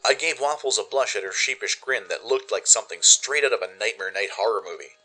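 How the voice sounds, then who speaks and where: A man giving expressive, dramatic first-person narration for a radio play. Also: on the low side, brisk, soft background music, poor audio. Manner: expressive storytelling. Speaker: narrator and producer.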